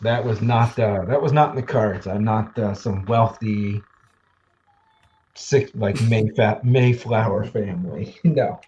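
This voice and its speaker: A man in his forties from the Mid-Atlantic US, hosting a casual livestream chat, easygoing and quick to laugh, with lots of fillers. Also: on the low side, darkish tone, muffled recording, a little hiss or hum. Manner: chatty, laughs easily, trails off with fillers. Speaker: livestream host.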